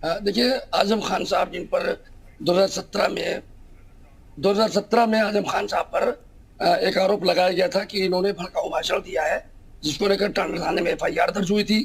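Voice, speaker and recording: Husky high-pitched male lawyer. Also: fairly even intonation, moderate pace. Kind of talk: interview explanation of a court case.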